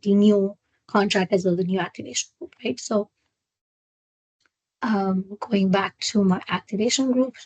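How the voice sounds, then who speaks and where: Woman doing a software demo on a webinar, halting step by step explaining, mid pitch, really dry sound. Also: no echo, muffled recording. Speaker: software trainer hosting a webinar. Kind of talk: live screen-share product walkthrough.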